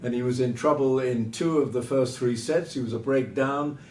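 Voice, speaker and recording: A man gives unscripted sports analysis in a mid-pitched voice. He lays out firm opinions in short, measured phrases. The sound is echoey, with a faint rumble behind him. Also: a little mellow. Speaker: tennis pundit. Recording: roomy, distant mic with background rumble.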